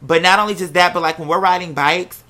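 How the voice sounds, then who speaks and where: A casual storyteller with an American accent and a bright, forceful voice at a middling pitch. The delivery is candid and animated, salted with swearing, like someone recounting personal drama to listeners. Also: fast talker. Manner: acts out quoted remarks while telling.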